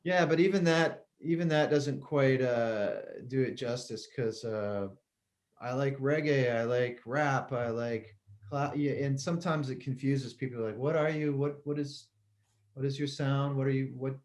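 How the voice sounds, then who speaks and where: Relaxed, rambling middle-aged man. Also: medium pitch, measured pace. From Connecticut, US. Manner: relaxed, rambling, laughs easily. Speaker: musician guest. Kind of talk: casual interview chat.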